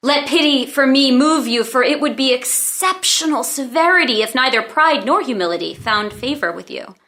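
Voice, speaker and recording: A woman's voice: high voice, expressive, normal speed, pushed and bright, full character voice. A woman playing a proud young noblewoman in disguise, formal and earnest in a play read-through. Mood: humble pleading.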